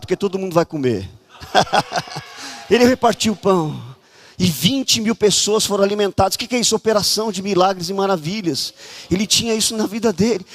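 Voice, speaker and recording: Fervent high-voiced Brazilian preacher. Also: male voice, vocal fry. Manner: fervent, often shouting, urging the congregation. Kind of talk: charismatic sermon. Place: church conference hall.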